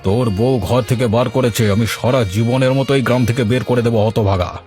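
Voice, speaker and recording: A man's voice: medium pitch, fairly even intonation, moderate pace, darkish tone, soft background music, lightly acted. A man voicing a stern village boss in a cartoon, scolding and commanding with a threatening manner. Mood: angry, threatening. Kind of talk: cartoon voice acting.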